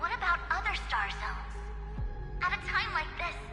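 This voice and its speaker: A woman playing a brisk, sceptical young female official in a video game, with a crisp, formal manner. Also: very high-pitched, very animated, exaggerated voice. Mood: sceptical, pointed. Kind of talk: video game cutscene acting. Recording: echoey hall reverb, game music behind.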